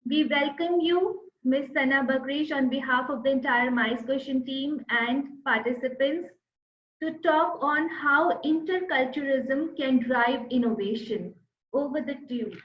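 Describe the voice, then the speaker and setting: A woman moderating an online event, reading a formal introduction of the next speaker slowly. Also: high voice. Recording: echoey, dull lo-fi sound.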